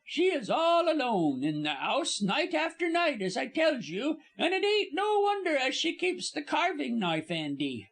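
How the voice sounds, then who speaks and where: A man's voice: very high voice, wildly swooping pitch, measured pace, hardly any pauses, a bit bright, pitched above the performer's own voice, heavily acted. A man voicing an indignant, gossipy older Cockney servant woman, wordy and emphatic, dropping aitches, in an audiobook story. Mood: knowing, gossipy.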